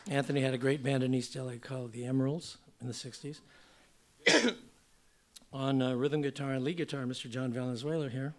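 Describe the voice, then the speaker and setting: An older man speaks from a theater stage, slightly hoarse, introducing his song in rambling, chatty stage talk full of fillers. His pitch sits in the middle, with faint noise behind him. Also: level tone, a little fast. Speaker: singer-songwriter. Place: theater stage at a museum event.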